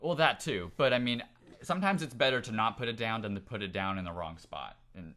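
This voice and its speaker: A man's voice: fairly low pitch, brisk, bright, forceful tone. A man co-hosting a gaming podcast, casually discussing strategy with his fellow players. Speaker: podcast co-host.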